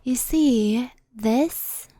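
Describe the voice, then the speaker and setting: Casting: woman as gentle, mischievous kitsune mentor for ASMR roleplay; mellow, slow delivery, soft intimate whispering. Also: a little high, no room sound. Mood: gentle, reassuring. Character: gentle mischievous female fox spirit, doting mentor, faintly anxious.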